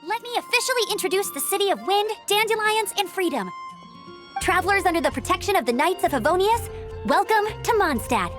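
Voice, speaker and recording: A woman's voice: extremely high pitch, very animated, brisk. A woman voicing an upbeat, dutiful young female knight in a video game, speaking in an earnest, eager and slightly formal way. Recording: music behind the voice.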